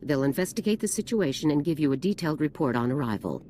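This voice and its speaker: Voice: mid-range voice, big pitch swings, mellow, slight background noise, lightly acted. An actor as a stern commanding officer. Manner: brisk, authoritative military briefing. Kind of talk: video game voice acting.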